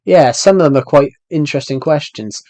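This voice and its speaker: A man's voice: on the high side, expressive, fairly quick, warm tone, no room sound. Rambling British man, dry close sound. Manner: rambling, restarts sentences while answering chat questions. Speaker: game streamer. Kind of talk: casual live stream chat.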